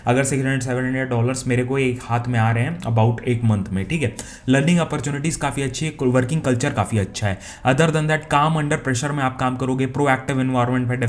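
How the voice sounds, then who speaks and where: A young man from India with an Indian accent, explaining remote job listings in a casual, conversational way, often restarting and checking in with the viewer. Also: medium pitch. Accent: Indian English. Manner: conversational, frequent restarts and "theek hai?" check-ins. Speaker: career advice YouTuber. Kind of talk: casual explainer talk, Hindi-English mix.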